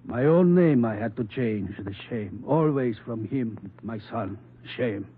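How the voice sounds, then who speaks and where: A bitter, grave old father played by a male actor speaks with a foreign accent in formal, halting phrases. It is vintage radio drama, thin and narrow in band, with some noise behind. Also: mid-range voice, animated, lightly acted. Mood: bitter, ashamed. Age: older. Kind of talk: 1950s radio drama acting.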